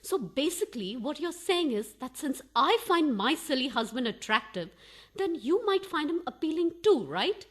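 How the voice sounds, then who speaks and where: An actress playing a suspicious, imperious wife in a radio farce, with a haughty, sarcastic and sharp-tongued manner. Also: female voice, high voice, very animated, normal speed. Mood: mocking. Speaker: actor.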